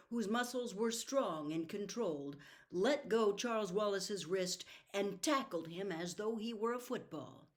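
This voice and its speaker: A woman narrating an audiobook as dramatic scripted storytelling, expressive and deliberate in her delivery. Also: mid-range voice.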